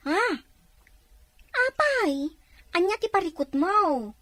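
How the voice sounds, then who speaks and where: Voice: very high voice, sing-song, slow pace, bright, forceful tone, no echo, heavily acted. A performer playing a helpful, earnest relative in a dramatized audio family story. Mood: concerned, helpful.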